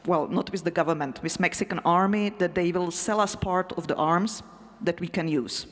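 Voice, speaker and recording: A woman, an English speaker, talking casually and haltingly as a panel speaker in a matter-of-fact way. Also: on the low side. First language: not English. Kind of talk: role-played presentation as a crime boss to a live audience. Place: festival hall. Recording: echoey hall sound.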